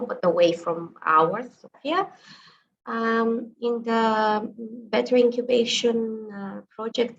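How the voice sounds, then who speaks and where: A woman's voice: medium pitch, gravelly. A woman from Bulgaria, introducing herself carefully on a video call, with fillers. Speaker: chamber of commerce staff. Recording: muffled video call with faint room noise.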